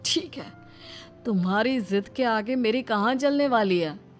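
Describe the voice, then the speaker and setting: A man's voice: very high voice, lively intonation, a little slow, soft background music. A man voicing blustering and put-upon male characters in a Hindi cartoon story. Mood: resigned, pleading. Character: bumbling street robber and a resigned young husband.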